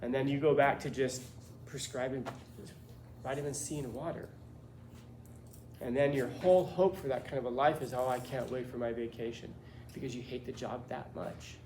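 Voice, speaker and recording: A man's voice: mid-range voice, steady tone, moderate pace, darkish tone. A man teaching a class, lecturing students bluntly with lots of rhetorical questions. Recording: echoey classroom with faint room noise.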